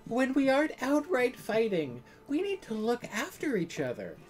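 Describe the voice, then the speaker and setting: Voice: medium pitch, wildly swooping pitch, normal speed, soft background music, pitched above the performer's own voice. A woman voicing a guarded, grumpy young sister in a visual novel game.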